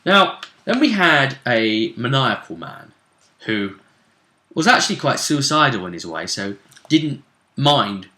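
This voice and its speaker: A man's voice: medium pitch, big pitch swings, unhurried, sharp tone. A man chatting casually as a game master, recounting the events of a tabletop role-play session. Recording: roomy, echoey sound.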